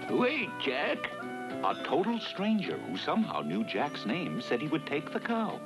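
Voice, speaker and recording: A man narrating a cartoon fairy tale in an expressive storytelling manner. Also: a little high, unhurried. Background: loud music.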